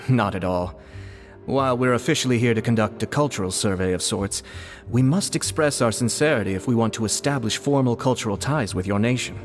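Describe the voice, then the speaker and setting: A man's voice: medium pitch, lightly acted. An actor playing a composed, polite young nobleman diplomat speaking formally in game dialogue. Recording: reverberant with music behind.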